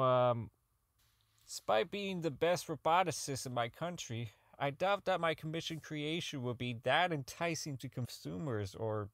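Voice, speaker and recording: An actor playing a socially inept, bitter robotics engineer in an audio drama log, rambling, halting, swearing and putting himself down. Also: male voice, medium pitch, fairly bright tone, no room sound, studio quality. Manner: rambling, halting, profane, self-deprecating. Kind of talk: audio drama monologue as a recorded personal log.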